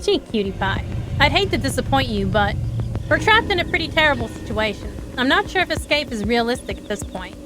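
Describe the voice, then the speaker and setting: A woman's voice: high voice, very animated, a little fast. A woman streamer playing a visual novel live, reading aloud and adding casual, sweary asides. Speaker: VTuber game streamer. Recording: game music behind the voice.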